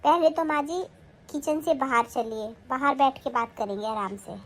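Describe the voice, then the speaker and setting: A woman's voice: sits high, moderate pace, fairly bright tone. A woman playing a sly young daughter-in-law. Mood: plotting. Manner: coaxing, plotting, pleading. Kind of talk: family skit acting.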